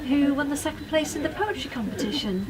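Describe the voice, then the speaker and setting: Encouraging woman interviewer, higher voice. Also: expressive, quick pace, a crowd in the background. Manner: warm, encouraging, frequent affirming murmurs. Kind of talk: polite interview questions. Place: literary festival venue. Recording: noticeable background ambience.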